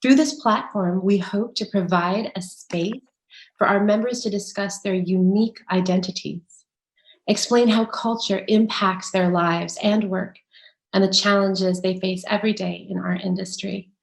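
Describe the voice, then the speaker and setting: A woman's voice: medium pitch, steady tone, unhurried, gravelly, roomy sound, rough recording. A woman moderating an online panel, reading a prepared introduction and then welcoming guests. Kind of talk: moderating a video-call panel.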